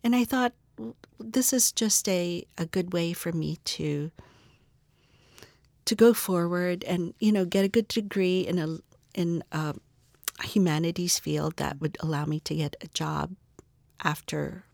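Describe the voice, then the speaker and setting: Woman, middle-aged, low voice, thoughtful, restarts often, dry close sound. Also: measured pace, gravelly, a little mellow, no echo. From Philippines. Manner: thoughtful, repeats words while searching for phrasing. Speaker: museum curator, guest. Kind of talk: reflective interview answers.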